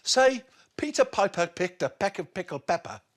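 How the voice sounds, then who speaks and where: A man voicing a good-natured, rough-spoken burglar in a children's storybook, exclamatory and folksy. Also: sits high, very animated, normal speed, voice pushed up. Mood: coaxing, cheerful.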